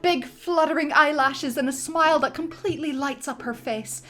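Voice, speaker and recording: A woman reads stage directions aloud with lively energy. Her voice sits a little high, and soft music plays faintly behind her. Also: normal speed. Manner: lively, breaking into laughter. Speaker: narrator reading stage directions. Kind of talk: dramatic script reading.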